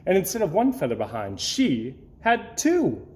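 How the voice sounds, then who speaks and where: A man reading a rhyming children's story aloud, theatrically, with dramatic emphasis and pauses. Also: fairly high pitch, huge pitch swings, darkish tone. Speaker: storybook reader. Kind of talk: expressive scripted children's read-aloud.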